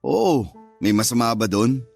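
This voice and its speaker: An actor playing a firm but considerate older brother in a radio drama. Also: male voice, mid-range voice, animated, a little slow, vocal fry, a little mellow, soft background music. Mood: stern, scolding. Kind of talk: Tagalog radio drama acting.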